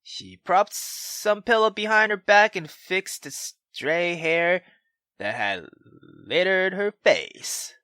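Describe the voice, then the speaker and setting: A woman hosting a gaming video, reading a visual novel aloud with expressive, casual, sweary commentary. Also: fairly low pitch, slow, dry recording. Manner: expressive, swearing, exclamatory asides. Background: faint game music.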